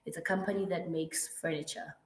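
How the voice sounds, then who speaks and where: A woman with a hoarse, raspy voice from the flu, patiently explaining grammar to a student in a one-to-one lesson and checking in often. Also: low-pitched, fairly even intonation, a little fast, reverberant. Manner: patient explaining with frequent checks like "right?" and "mm-hmm". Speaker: English tutor.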